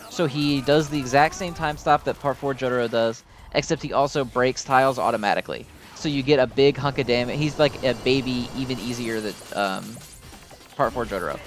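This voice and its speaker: A man giving casual, unscripted gameplay commentary in a rambling, informal, self-deprecating way. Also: medium pitch. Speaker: gaming video host.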